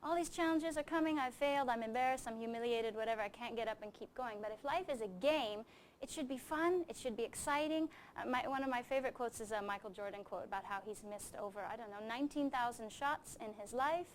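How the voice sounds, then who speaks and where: A woman's voice: a little high, brisk, slight background noise. A middle-aged woman who grew up in Japan, speaking as a coach and interview guest in an earnest, emphatic, explanatory way. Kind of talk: conversational interview answers with anecdotes.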